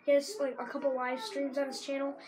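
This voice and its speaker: A teenager with a sore throat rambling casually while commentating a video game, breaking into excited shouts at plays. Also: female voice, high voice, fairly quick, rough recording. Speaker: gamer.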